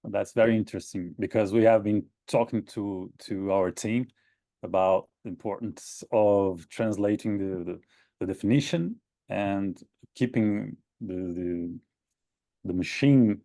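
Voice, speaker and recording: A man hosting a conversational web interview in a halting, thoughtful way. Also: low voice, dark tone, dry recording. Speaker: webtalk host.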